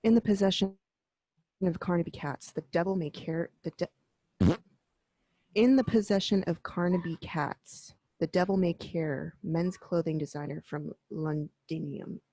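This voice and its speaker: A woman's voice: on the low side, a little slow, mellow, no room sound, low-quality recording. A woman voicing Batgirl, a prim, rule-abiding young crime-fighter, in an amateur radio play.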